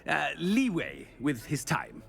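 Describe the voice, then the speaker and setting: A man's voice: on the high side, wildly swooping pitch, slow, creaky, a bit bright, husky, heavily acted. A man playing a pompous, flustered school headmaster in a video game, with a stammering, blustering manner. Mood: nervous, evasive. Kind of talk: video game character acting.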